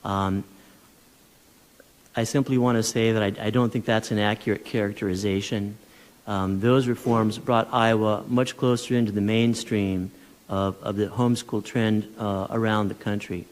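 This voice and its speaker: A man testifying at a legislative hearing, speaking in a measured, persuasive way with small restarts. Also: fairly low pitch, steady tone. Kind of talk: formal testimony. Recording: long reverb of a large hall.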